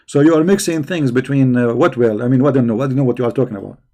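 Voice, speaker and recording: A man with a gravelly, creaky voice at an ordinary middle pitch hosts a live debate stream. He answers viewers in a combative, mocking way and often repeats words while he gropes for the next phrase. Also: racing, mellow. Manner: combative and mocking toward questioners. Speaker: religious debate host.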